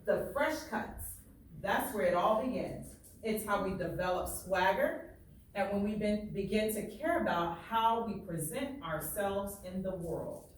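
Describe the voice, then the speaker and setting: A woman's voice: medium pitch, animated, unhurried. A woman teacher reading a book aloud, stopping to explain passages plainly and check that listeners understand. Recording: very echoey room, distant, faint noise.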